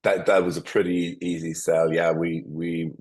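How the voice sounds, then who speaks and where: A man's voice: sits low, flat delivery, moderate pace, darkish tone. A man in his forties with an Irish accent, a company founder giving an interview over a call, stumbling through restarts and fillers. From Ireland. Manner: hesitant, many restarts and fillers. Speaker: guest, tech company founder. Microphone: remote call.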